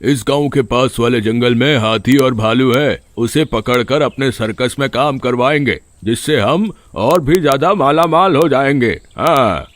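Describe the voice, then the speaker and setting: A man voicing blunt, expressive village men in an animated story. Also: medium pitch, unhurried, few pauses, lightly acted. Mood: greedy, scheming. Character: bargaining village men: elder, startled villager, greedy circus man.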